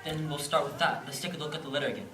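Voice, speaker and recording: A man's voice: a little high, very fast, over music, natural voice. A man acting a supportive, encouraging young companion in an amateur stage play. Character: supportive, encouraging male companion of a searching adoptee. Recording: echoey stage, distant mic, noisy.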